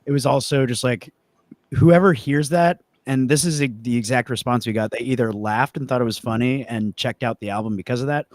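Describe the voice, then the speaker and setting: A man's voice: medium pitch, fairly quick, dry recording. A man from Pennsylvania, speaking casually as an interview guest and band frontman. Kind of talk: casual chat.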